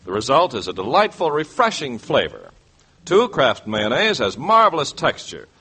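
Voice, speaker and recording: A man with an American accent reads scripted advertising on 1940s radio, with a polished salesman's delivery and deliberate emphasis, in a vintage broadcast recording. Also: mid-range voice, very animated, bright, forceful tone, slight background noise. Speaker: radio announcer.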